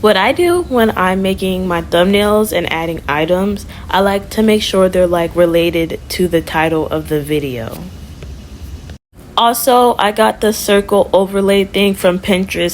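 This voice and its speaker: Lower-pitched female tutorial host, noisy recording. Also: a little slow. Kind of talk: casual step-by-step tutorial voice-over. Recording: audible background noise.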